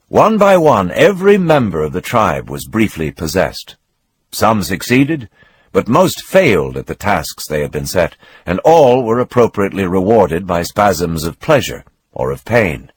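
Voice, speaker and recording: Husky, expressive male narrator. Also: sits low. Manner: expressive, dramatic reading with swelling emphasis. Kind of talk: scripted narration of a novel.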